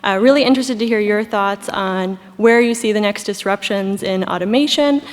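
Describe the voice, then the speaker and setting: A woman's voice: mid-range voice, normal speed. Woman asking from a reverberant hall. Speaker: audience questioner. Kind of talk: prepared question at live event.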